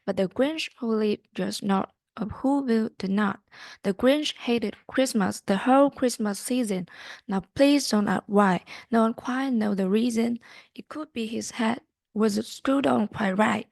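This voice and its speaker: A young adult woman, a student, reading a rhyming storybook aloud haltingly, repeating words as she goes. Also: a little high, vocal fry, a little mellow, no echo.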